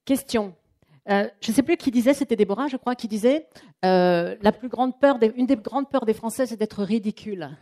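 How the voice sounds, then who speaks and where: A woman presenting a live coaching workshop to a room of people, talking in a chatty, lively way and acting out what clients say. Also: a little high, normal speed. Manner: lively, chatty, acts out quotes. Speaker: trainer and coach. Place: training room with an audience.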